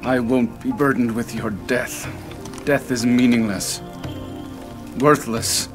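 An actor playing a ruthless, ambitious warlord of feudal Japan in a video game cutscene, speaking in a grave, commanding, portentous manner. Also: male voice, mid-range voice, steady tone, slow, over music, close to an everyday voice. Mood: cold, grave.

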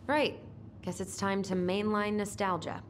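A woman's voice: medium pitch, level tone, unhurried, a little hiss or hum, natural voice. A woman playing a wry, teasing young twin sister in an understated, naturalistic video game style. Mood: wry, low-key. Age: young adult.

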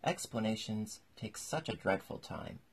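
An impatient, bossy gryphon from a storybook, acted out by a man. The voice is husky and creaky, with blunt, matter-of-fact orders. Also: fairly low pitch, lively intonation, a little slow, exaggerated voice. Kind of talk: storybook character voice.

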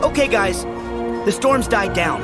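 An actor playing an earnest, upbeat teenage boy hero in a video game, eager, friendly and expressive. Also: sits high, a little slow, over loud music. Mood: resolved. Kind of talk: video game cutscene acting.

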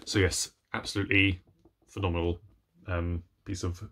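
A young man with a fairly low voice talks casually to camera about his reading. His delivery is chatty and hesitant, with a self-deprecating touch. He is recorded indoors at home, about an arm's length from the camera. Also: little pitch movement, moderate pace, a bit bright. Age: young adult. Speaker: book reviewer vlogger. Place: small room at home, indoors.

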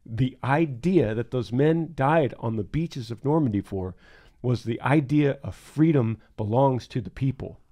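Man, podcast co-host, mellow voice, mid pitch, earnest, reflective. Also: measured pace. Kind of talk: casual podcast talk.

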